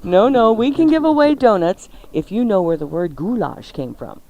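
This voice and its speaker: A woman's voice: medium pitch, big pitch swings, moderate pace, dark tone, muffled recording. A woman hosting a radio show with casual, playful, coaxing banter.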